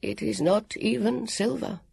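A storybook narrator voicing a tall, skeletal male guardian who speaks slowly, sadly and apologetically. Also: mid-range voice, fairly even intonation, vocal fry, darkish tone, slightly rough, no echo, voice pushed up, full character voice. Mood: mournful. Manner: slow, mournful, apologetic.

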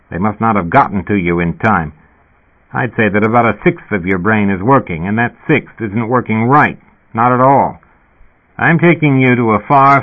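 Man playing a blunt skeptical cop. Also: fairly low pitch. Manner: blunt, crude. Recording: tinny phone-like sound.

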